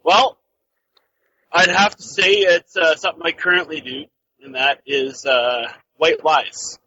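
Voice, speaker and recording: Bright, higher-voiced man, hesitant caller. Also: fairly even intonation, unhurried, breathy edge, no echo. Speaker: radio show caller. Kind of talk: hesitant casual confession. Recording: dull lo-fi phone call.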